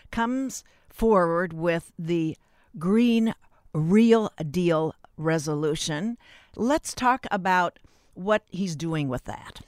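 An interviewer hosting a public affairs conversation, speaking in a deliberate, wordy way and often rephrasing mid-sentence. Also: medium pitch, dry recording, clean studio sound. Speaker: interviewer, show host.

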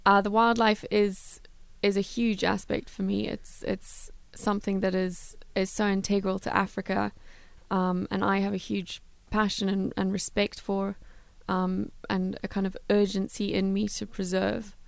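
A woman from Zimbabwe, a guest in a studio interview who talks in an even, unhurried way about her work. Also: mid-range voice, vocal fry, no echo. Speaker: guest, travel philanthropy worker.

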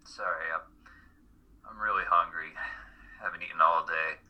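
A man's voice: low voice, fairly even intonation, moderate pace, lo-fi sound. A man acting a tired, subdued male college student in a dramatic reading, speaking hesitantly in short replies. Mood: sheepish. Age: young adult. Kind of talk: radio-play style dramatic reading.

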